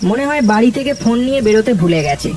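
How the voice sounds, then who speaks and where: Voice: medium pitch, animated, very fast, recorded from a distance, noisy background, close to an everyday voice. A narrator voicing an easygoing young male college student, chummy and colloquial, in a cartoon ghost story. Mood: reassuring.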